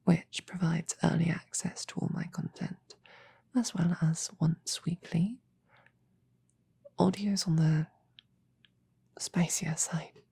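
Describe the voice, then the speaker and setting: Breathy, unhurried woman speaking softly. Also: on the low side, steady tone. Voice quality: breathy, whispery. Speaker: audio roleplay creator addressing listeners. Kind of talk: soft-spoken ASMR-style outro and plug.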